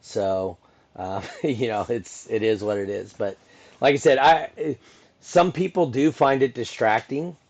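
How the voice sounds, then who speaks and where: A man's voice: medium pitch, unhurried, a little mellow. A middle-aged man hosting a casual podcast conversation, rambling with many restarts and asides. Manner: rambling, full of restarts and "you know". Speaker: podcast host and event announcer.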